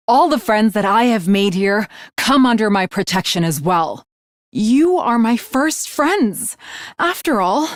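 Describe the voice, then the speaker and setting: A woman's voice: fairly high pitch, no room sound, studio quality. A woman voicing an earnest teenage swordswoman in an anime game, speaking in formal, archaic, grandiose declarations with chivalrous conviction. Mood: resolute, heartfelt. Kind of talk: English anime game voice acting.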